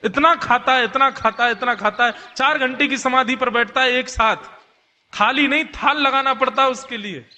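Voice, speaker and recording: Man playing a high-voiced, gossipy, grumbling housewife. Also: normal speed, bright, forceful tone, full character voice. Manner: gossipy, exaggerating, repetitive. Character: talkative, grumbling, overworked housewife of a devout man. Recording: long hall reverb.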